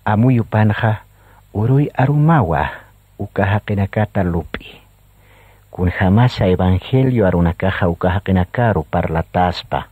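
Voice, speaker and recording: A man's voice: deep voice, lively intonation, a little slow, no room sound. A man reading scripted narration for a radio drama. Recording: dull, husky-sounding recording, faint music. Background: faint music.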